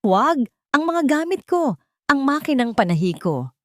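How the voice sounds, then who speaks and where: A woman's voice: high-pitched, big pitch swings, normal speed, no echo, clean studio sound, pitched above the performer's own voice. A woman storyteller voicing a desperate young woman who cries out and pleads. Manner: crying out, pleading.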